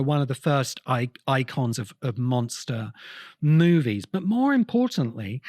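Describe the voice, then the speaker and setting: A man hosting a podcast, delivering an explanatory cultural history monologue with emphatic, halting phrasing. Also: medium pitch, no echo, studio quality. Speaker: podcast host.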